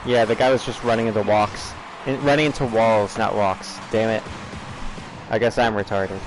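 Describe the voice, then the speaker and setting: A young man with a plain General American accent rambles to his chat between plays, often breaking into laughter. His voice sits on the low side, and faint game sounds run quietly beneath it. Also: steady tone, normal speed, background noise. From United States. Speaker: streamer. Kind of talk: casual livestream chatter over gameplay.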